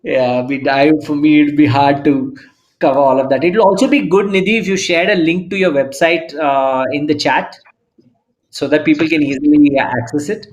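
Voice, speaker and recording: Higher-voiced man hosting an online meeting. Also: fairly even intonation, moderate pace, poor audio. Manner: laughs between phrases, hesitant. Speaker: meeting host. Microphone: video call.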